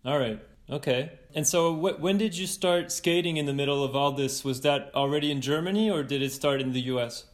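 A man's voice: fairly high pitch, fairly quick, hardly any pauses. A man hosting a podcast, reading a scripted intro, then asking hesitant questions full of fillers. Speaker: podcast host.

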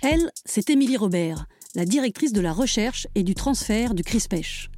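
A woman's voice: mid-range voice, expressive, moderate pace, darkish tone, faint music behind. A woman with a Parisian accent, hosting and narrating a podcast with a personal, lightly humorous touch. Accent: Parisian French. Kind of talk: scripted narration.

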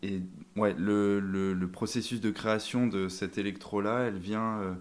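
A young man speaks in a strikingly deep voice, pausing often and restarting his sentences. He talks casually in an interview about his band and his instrument. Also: steady tone. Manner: hesitant, many fillers and restarts. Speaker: band member, sousaphone player. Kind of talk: interview conversation.

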